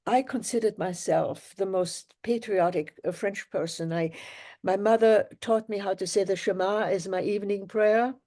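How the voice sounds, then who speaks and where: An older woman from Germany speaking as an interview guest. Her voice is mellow and warm, and she recalls her memories slowly and with care, pausing now and then. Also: mid-range voice, steady tone. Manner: reflective, measured, with pauses. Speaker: guest, Holocaust survivor. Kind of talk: interview storytelling.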